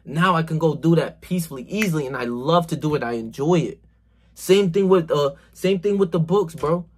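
A man's voice: a little high, a little fast, a little hiss or hum. A man about to turn twenty-one giving a slangy, emphatic motivational talk to camera, swearing a lot and saying "bro," recorded on a phone camera in a small bedroom. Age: 20s. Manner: gestures a lot while talking. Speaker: self-improvement vlogger.

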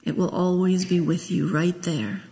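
A woman minister leading a guided meditation, speaking gently and in a measured way with long pauses. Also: on the low side, fairly even intonation. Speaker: minister leading a memorial service. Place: large church sanctuary, at a pulpit. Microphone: gooseneck pulpit microphone. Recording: long echo of a church hall.

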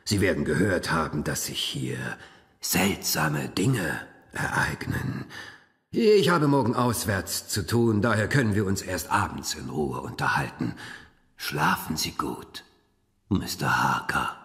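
A man playing a courtly, menacing old vampire count in a German radio horror drama, speaking formally and deliberately. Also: very deep voice, animated, fairly bright tone, exaggerated voice. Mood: courteous, ominous. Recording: long reverberant hall.

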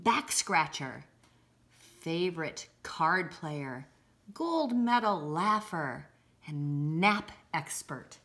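A middle-aged woman reading a children's picture book aloud, deliberately and with expressive emphasis, recorded indoors a short distance from the camera. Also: on the low side. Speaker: school read-aloud presenter. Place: indoors, home-like room with armchairs.

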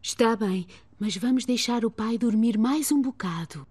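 A woman's voice: on the high side, moderate pace, darkish tone, close to an everyday voice. A woman voicing a kind, patient young mother in a children's cartoon, with a European Portuguese accent. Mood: sleepy, kind.

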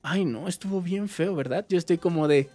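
A Mexican man in his thirties with a Mexican Spanish accent, hosting a casual Q&A vlog for his gaming channel, chatty and animated. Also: high voice, moderate pace, faint music behind. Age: 30s. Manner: chatty, animated, waves arms while talking. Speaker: YouTube gaming channel host. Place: small indoor room with game shelves.

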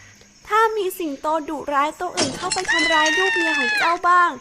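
A woman's voice: very high voice, wildly swooping pitch, normal speed, heavy background noise, voice pushed up, heavily acted. A woman voicing a dying mother lioness in a storybook tale, sorrowful, reproachful and pleading. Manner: pleading, questioning. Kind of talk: storybook character voice.

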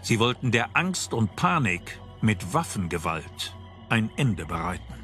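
A man's voice: lowish voice, sing-song, a little slow, sharp tone, soft background music. A man reading scripted narration as the narrator of a documentary.

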